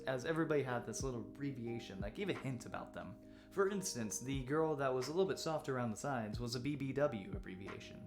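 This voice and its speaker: A man telling a story as casual commentary, in a joking, crude, animated way. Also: medium pitch. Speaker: host of a commentary series. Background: background music with guitar.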